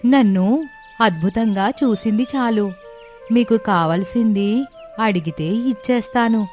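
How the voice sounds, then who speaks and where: Magical female iron griddle for a story cartoon played by a woman: bossy, unhurried, music underneath. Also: medium pitch, a little mellow, natural voice. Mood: commanding. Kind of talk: children's moral-story cartoon voice. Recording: music bed behind the voice.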